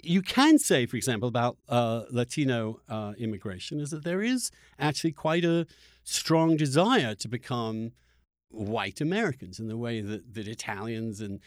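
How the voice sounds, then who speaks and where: A man hosting a conversational interview, halting and repeating words as he works through an argument. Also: medium pitch, animated, no echo, studio quality. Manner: halting, repeats words while arguing a point. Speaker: podcast host.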